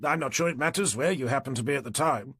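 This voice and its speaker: A man's voice: medium pitch, fast talker, creaky, fairly bright tone, husky, dry recording, clean studio sound. A man voicing a fussy, exasperated police doctor in an audiobook, with a British accent. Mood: hesitant.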